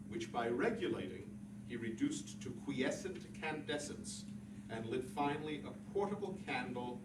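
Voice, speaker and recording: Man, mid-pitched voice, dramatic prose reading, roomy echo. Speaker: literary reader. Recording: roomy echo, distant mic, clear noise.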